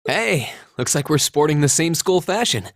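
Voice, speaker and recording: A man's voice: a little high, lively intonation, moderate pace, roomy sound, long reverb tail. An actor playing a thoughtful young male academy student, speaking casually and reflectively in a video game. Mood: casual, friendly. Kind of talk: game voice acting, musing dialogue.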